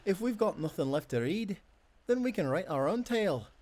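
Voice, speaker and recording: An actor playing a devoted, playful sailor husband with a Scottish accent, speaking tenderly in old-fashioned seafaring language for a romantic audio roleplay. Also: male voice, sits high, very animated, moderate pace. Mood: warm, eager. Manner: tender, teasing, given to soft chuckles.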